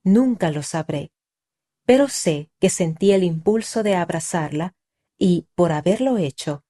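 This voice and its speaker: A woman reading a first-person story aloud in a calm, measured, reflective way. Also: lowish voice. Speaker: reader. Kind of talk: scripted first-person narration.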